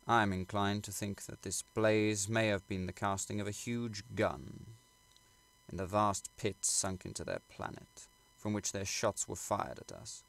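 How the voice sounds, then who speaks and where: A man reading literary fiction aloud as an audiobook narrator, in a measured, formal storytelling manner. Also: fairly low pitch, creaky, a bit bright. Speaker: audiobook reader.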